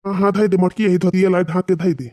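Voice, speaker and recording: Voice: medium pitch, steady tone, fast talker, darkish tone, close to an everyday voice. A rustic village husband from a cartoon comedy played by a voice actor, speaking with an Awadhi accent. He is plain-spoken and bossy, giving orders in a nagging way. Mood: wary, bossy.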